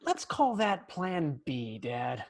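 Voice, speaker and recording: A man's voice: fairly high pitch, very animated, slow pace, vocal fry, exaggerated voice. A man reading the part of a cocky, martial-arts-obsessed kid brother at a sitcom table read. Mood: smug.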